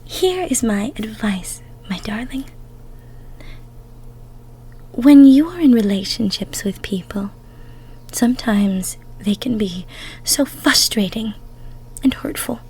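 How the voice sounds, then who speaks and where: A woman playing a tender, emotional goddess of love in an intimate roleplay audio, cooing soothingly in a breathy, near-whispered voice. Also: medium pitch, expressive, slow pace, long pauses, warm tone, a little hiss or hum, low-quality recording. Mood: tender, advising. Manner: soothing, cooing, coddling with pet names.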